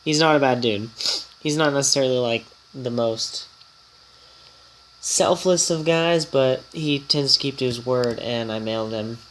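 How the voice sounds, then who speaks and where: A man's voice: medium pitch, measured pace, a bit bright, poor audio. Male gaming vlogger, slightly congested and nasal, rambling casual delivery, faint background hiss. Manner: rambling, chatty, easily startled. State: slightly nasal from a coming cold. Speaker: gaming channel host and guild leader. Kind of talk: casual mobile game commentary vlog.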